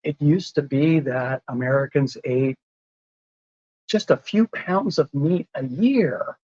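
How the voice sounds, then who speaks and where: A man's voice: on the high side, takes it slowly, darkish tone, dry recording, dull audio. A man in a casual podcast conversation, explaining whole foods in a patient way and laughing often. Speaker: lifestyle medicine advocate.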